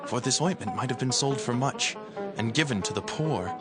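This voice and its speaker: A man's voice: medium pitch, expressive, normal speed, natural voice. An actor playing a questioning, self-interested male disciple in a dramatized scripture reading, with a pointed manner. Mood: indignant. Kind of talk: dramatized scripture, archaic English. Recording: voice over prominent music.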